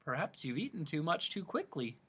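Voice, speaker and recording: A man voicing a polite, puzzled young boy who speaks softly. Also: sits high, sing-song, unhurried, voice pushed up, full character voice. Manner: soft, polite.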